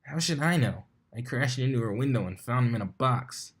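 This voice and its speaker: A man's voice: mid-range voice, lively intonation, normal speed, breathy edge, pitched above the performer's own voice. A man voicing a brash young female pegasus with an offhand, defensive manner in a cartoon fan story.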